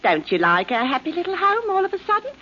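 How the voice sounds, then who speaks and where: An actress playing a teasing, nagging London housewife with chatty, colloquial talk, in 1940s radio drama style. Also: female voice, very high-pitched, huge pitch swings, fast, sharp tone, heavily acted. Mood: needling. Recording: dull, noisy 1940s radio recording.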